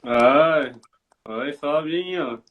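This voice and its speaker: A man's voice: on the high side, extremely slow, dull audio. A man from Switzerland, a football coach answering interview questions in an easygoing way. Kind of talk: casual interview answers in English.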